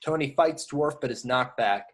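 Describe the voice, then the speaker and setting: A man's voice: medium pitch, moderate pace. Male narrator, middle register, reading screenplay action lines for an amateur group table read. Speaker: narrator reading stage directions.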